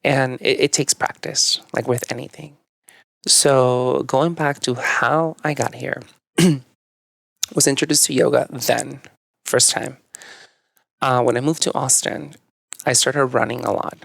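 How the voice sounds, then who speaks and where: A young man from northern Mexico, a native Spanish speaker, talks through his story in a relaxed, reflective manner, often pausing on "um" and "like." His voice has a gravelly, fried edge. The sound is dry and close, with no room around it. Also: medium pitch, dry recording. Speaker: podcast guest, yoga teacher. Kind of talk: casual conversational storytelling.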